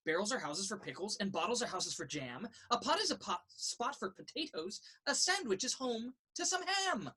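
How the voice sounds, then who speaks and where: A woman reading a children's picture book aloud in a sing-song, rhythmic way, with a slightly husky voice. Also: fairly high pitch, fairly bright tone. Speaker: story reader. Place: indoors in a children's library.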